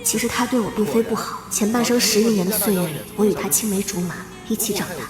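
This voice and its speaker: A woman playing a young noblewoman reborn for revenge, narrating solemnly and with measured drama. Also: medium pitch, lightly acted. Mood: wistful, measured. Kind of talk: dramatic first-person narration for an animated period drama. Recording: echoey long reverb over loud music.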